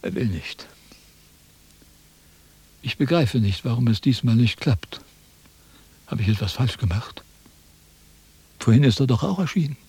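An actor in a radio play playing a pompous, quarrelsome Chinese philosopher who lectures and declaims theatrically. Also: male voice, mid-range voice, expressive, measured pace, pauses often, dark tone, breathy edge, no room sound, exaggerated voice. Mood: baffled. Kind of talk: German radio play acting.

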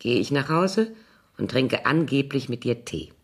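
A woman's voice: very deep voice, expressive, unhurried, breathy edge, exaggerated voice. An actress playing a sly, mocking older woman in a German radio crime drama, with a sardonic, theatrical drawl.

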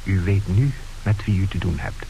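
An actor playing a confiding, sceptical narrator who addresses the audience in an old radio play. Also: male voice, low voice, normal speed, dark tone, lightly acted, rough recording. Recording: hissy old radio recording.

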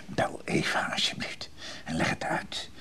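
An actor playing a troubled, worn-out hospital patient in a radio drama, speaking in a halting, subdued manner. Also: male voice, sharp tone, whispered. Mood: anxious, needy.

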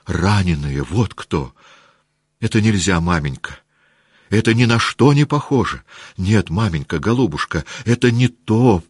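A man voicing a passionate, indignant teenage girl who shouts and pleads. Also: deep voice, expressive, slow, hardly any pauses, husky, no echo, clean studio sound, heavily acted. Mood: pleading outcry.

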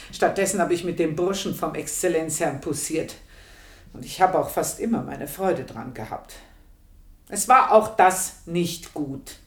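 A woman's voice: fairly low pitch, echoey room. An actress playing an earthy, proud, aging housemaid, confiding her memories in a radio play monologue. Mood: wistful, frank. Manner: confiding, unhurried storytelling with pointed pauses. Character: earthy, proud aging housemaid reminiscing about past desires.

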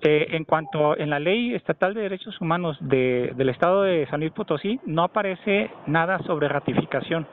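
A man's voice: a little high, animated, moderate pace, few pauses. A man on a phone call, arguing insistently and at length with an official. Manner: insistent, argumentative, long-winded. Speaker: caller. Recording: tinny phone line.

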